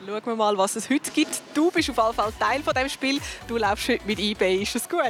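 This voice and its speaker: A woman's voice: on the high side, brisk, faint music behind. A woman with a Swiss German accent hosting a children's show in a chatty interviewing style. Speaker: host of a children's surprise show. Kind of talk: chatty presenting and interviewing for kids.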